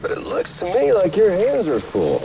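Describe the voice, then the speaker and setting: A man's voice: sits high, huge pitch swings, moderate pace, vocal fry, background noise, full character voice. A man playing a flamboyant, sadistic game villain who is playful, mocking and theatrical. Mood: mock disappointment. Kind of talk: theatrical video game acting.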